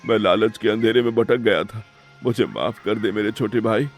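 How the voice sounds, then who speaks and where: A man's voice: fairly low pitch, moderate pace, soft background music. Man voicing a guilty, imploring elder brother. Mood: remorseful, pleading. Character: greedy guilty elder brother, pleading villain. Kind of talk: melodramatic cartoon moral-story acting.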